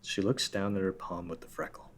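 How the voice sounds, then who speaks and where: A man reading the stage directions and narration of a script at a table read in a steady, matter-of-fact way. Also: low-pitched, fairly quick, slight background noise.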